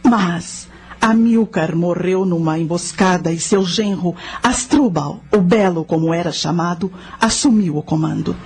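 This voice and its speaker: A woman playing a grave high priestess of ancient Carthage in a radio drama, telling her story formally. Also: a little slow, darkish tone. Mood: grave narrating. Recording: music under the voice.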